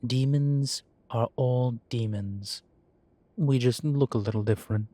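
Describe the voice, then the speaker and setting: A man's voice: medium pitch, no echo, lightly acted. A man playing a droll, proud, genteel demon professor who lectures a student in a scripted roleplay audio. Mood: patient lecturing. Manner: unhurried, genteel, gently lecturing. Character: droll, proud, urbane male demon professor, centuries old. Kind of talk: scripted roleplay audio, one-sided conversation.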